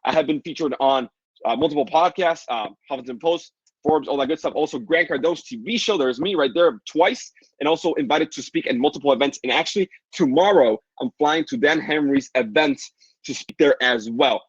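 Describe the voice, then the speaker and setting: A man's voice: on the high side, fairly quick, slightly rough, no room sound. A young man with a Dominican Spanish accent, a native Spanish speaker, coaching a live webinar in a pushy, hyped sales patter full of fillers. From Dominican Republic. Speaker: online marketing coach. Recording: dull lo-fi dry sound.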